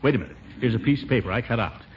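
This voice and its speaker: A man's voice: medium pitch, lively intonation, rapid-fire, natural voice. An actor playing a blustery, self-important middle-aged American man in 1940s radio comedy, pompous and easily exasperated. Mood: insistent, reasoning. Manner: pompous, with chuckles and sputtering outbursts. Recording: muffled, noisy 1940s radio recording.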